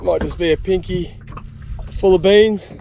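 A man's voice: sits high, fairly even intonation, a little slow, vocal fry, mellow, lo-fi sound. A young Australian man giving casual, laid-back vlog commentary in a matey, colloquial way while out on an open boat. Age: young adult. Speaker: fishing vlogger. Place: outdoors on a small open boat at sea. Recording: noisy outdoor recording on open water.

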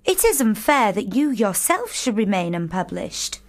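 Actress as a playful, lilting young literary sister. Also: female voice, mid-range voice, very animated, moderate pace, lightly acted. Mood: earnest. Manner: theatrical, savouring words. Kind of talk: period radio drama acting.

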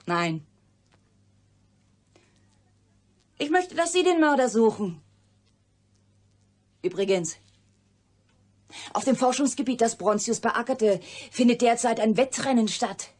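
An actress playing a candid, bitter young female scientist in a radio crime drama, speaking deliberately and confidingly in a husky, breathy voice. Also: fairly high pitch, animated, a little mellow. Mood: determined, intimate.

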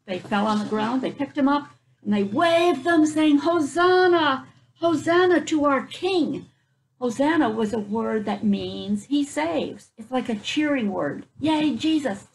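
Older woman storyteller with a creaky, higher voice. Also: expressive, unhurried, rough recording. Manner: explanatory asides in simple words. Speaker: children's story reader. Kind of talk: reading a picture book aloud to children. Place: outdoors on a front porch. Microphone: camera a few feet away.

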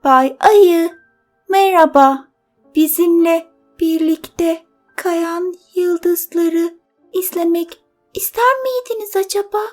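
A woman's voice: very high-pitched, takes it slowly, a little mellow, soft background music, voice pushed up. A woman voicing a polite, cheery little rabbit neighbour in a children's story, with sing-song requests. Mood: cheerful, polite.

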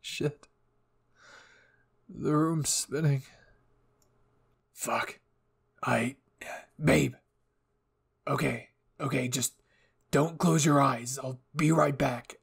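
A man voicing a gruff, hot-tempered young hero in an anime roleplay, brusque, profane and urgent. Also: mid-range voice, steady tone, very slow, long pauses, full character voice. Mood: panicked, worried. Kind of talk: anime ASMR roleplay acting.

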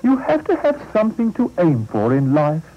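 A man's voice: high voice, huge pitch swings, moderate pace, muffled recording, soft background music, pitched above the performer's own voice. A man voicing a cheerful, wise little male creature with a chirpy manner.

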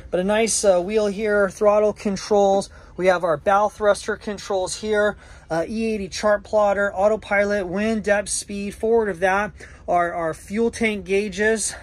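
A middle-aged man, a yacht broker, walks through a sailboat and lists its features in a relaxed way, with lots of "uh" fillers. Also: high-pitched. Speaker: yacht broker giving a walkthrough tour. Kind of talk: casual walkthrough narration. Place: aboard a sailboat in a marina, on deck and below. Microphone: handheld camera, first-person view.

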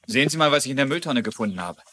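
An eager police deputy in a crime drama. He talks fast, with a very sharp, bright voice at medium pitch. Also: expressive. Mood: eager, reporting. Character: brisk, eager male deputy reporting evidence. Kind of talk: German-dubbed TV detective drama acting.

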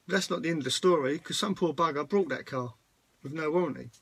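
A man's voice: on the high side, quick pace, gravelly, slightly rough, dry recording. A man vlogging casually outdoors beside parked vans, talking in a rambling, plain-spoken way with plenty of fillers. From United Kingdom. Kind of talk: casual walkaround vlog.

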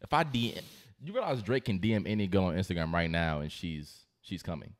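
A man's voice: low-pitched, lively intonation, a little fast. A young American man in a casual podcast debate with friends, insistently repeating his points into a close microphone. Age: young adult. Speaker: podcast host. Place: living room, on a couch. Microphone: podcast microphone on a stand, close.

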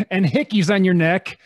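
A man in his forties hosting a podcast, chatting casually and laid-back into a studio mic. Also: high-pitched. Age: 40s. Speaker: podcast host. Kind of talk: casual interview chat. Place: indoor room. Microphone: studio mic and headphones.

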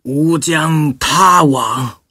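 An elderly Buddhist master, acted by a man, speaks in a husky, fairly high male voice. He is calm and solemn, taking every phrase very slowly, as in a radio drama recorded close and dry. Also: no echo, exaggerated voice. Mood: humble, calm. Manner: slow, deliberate, solemn delivery.

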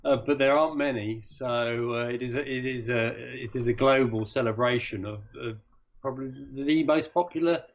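A man's voice: medium pitch, normal speed. A man being interviewed as a sailing class chairman over a video call, talking with many hesitations and restarts. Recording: dull video-call audio.

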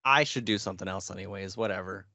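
A man's voice: deep voice, quick pace, sharp tone, dry recording. A man in his twenties co-hosting a gaming podcast in a casual, laid-back way. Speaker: podcast co-host.

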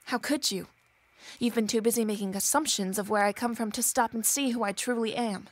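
A woman playing a proud but gentle young Regency lady in a period audio drama, speaking with earnest care. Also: on the high side, a little fast, no room sound, close to an everyday voice. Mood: wounded, firm.

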